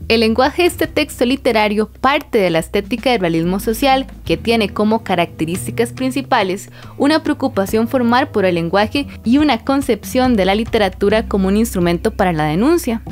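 A woman's voice: on the high side, animated, normal speed, hardly any pauses. A woman giving prepared, formal literary commentary in the didactic manner of a professor. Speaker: literature professor, guest specialist. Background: music.

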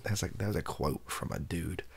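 A young man with a husky, gravelly voice, rambling softly and wryly to camera, often hesitating and correcting himself. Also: low voice, normal speed. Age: young adult. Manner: hesitant, self-correcting, wry. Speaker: ASMR comedy video creator. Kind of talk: improvised soft-spoken rambling to camera. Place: small home bedroom office.

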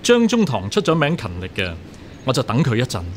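An actor playing a genial, reflective scholar-official in a radio drama. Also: male voice, mid-range voice, wildly swooping pitch, brisk, heavy background noise. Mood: genial, curious. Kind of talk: Cantonese radio drama acting.

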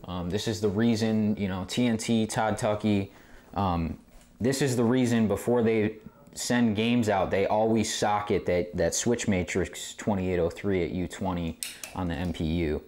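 A man's voice: on the low side, fairly even intonation, unhurried, darkish tone. A man giving a casual hands-on repair tutorial as host, recorded indoors on a camera mic a short distance away. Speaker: host of pinball repair videos. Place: indoor game room among arcade machines. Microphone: camera on tripod, a short distance away. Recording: camera mic with clear background noise, clicks.

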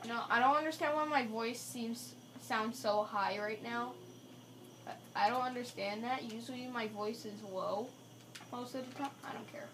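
A woman's voice: fairly high pitch, normal speed, fairly bright tone, slight background noise, poor audio. A woman playing a video game and chatting to herself with joking asides. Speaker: gamer. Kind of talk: casual gameplay commentary. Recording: game music playing in the room. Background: game music.

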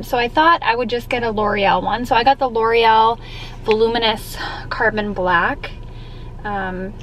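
A woman's voice: on the high side, slight background noise, poor audio. A young woman chatting in an upbeat, casual way as a vlogger, filming herself at arm's length inside a parked car. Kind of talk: casual vlog chat. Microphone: camera held at arm's length.

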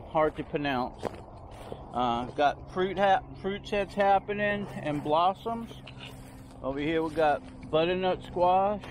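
Guy wandering his backyard showing off plants, kinda high voice, slow and rambly, outdoors on a phone. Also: slight background noise. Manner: rambling, unhurried, points things out as he walks. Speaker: home gardener giving a garden tour. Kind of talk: casual walking garden tour.

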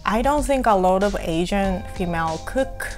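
Japanese-accented woman guest, soft-spoken and earnest, lapel mic, faint music behind. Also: medium pitch, animated, moderate pace. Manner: soft-spoken, earnest, with small grammar slips. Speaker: guest, cook. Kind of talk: casual chat over dinner. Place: small living room set, indoors.

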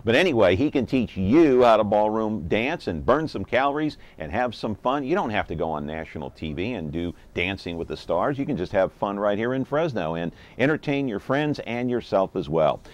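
Male TV host in his 50s, low voice, chatty and upbeat, lapel mic in a studio. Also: lively intonation, moderate pace, few pauses. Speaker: TV talk show host. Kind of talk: local TV talk show hosting.

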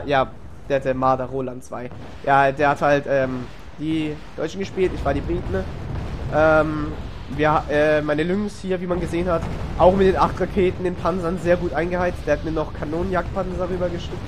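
A man's voice: on the high side, little pitch movement, moderate pace. A man with a cold, casually narrating a replay of his strategy game match, rambling with many fillers and self-corrections. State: has a cold, coughs. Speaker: gamer commenting on a replay. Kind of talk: casual gameplay voice-over commentary. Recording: noisy home recording.

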